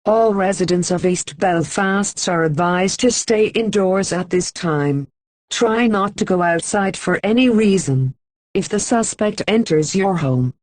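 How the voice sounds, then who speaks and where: A woman reading a scripted emergency broadcast announcement in an even, formal manner with slow, deliberate pauses. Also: fairly low pitch, no echo.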